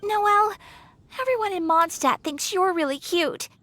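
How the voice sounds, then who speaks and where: Very high-pitched, plaintive young townswoman. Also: moderate pace, pushed and bright. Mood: plaintive, pleading. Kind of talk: video game voice acting.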